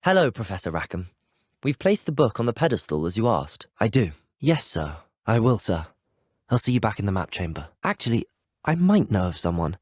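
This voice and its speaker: A lowish, slightly husky British voice for an earnest teenage wizard student in a video game. He is courteous and curious, with a very mobile melody, recorded dry and close. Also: sing-song, brisk, no room sound. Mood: earnest, polite. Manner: courteous, well-spoken, formal. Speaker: player character voice actor. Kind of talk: scripted video game voice lines.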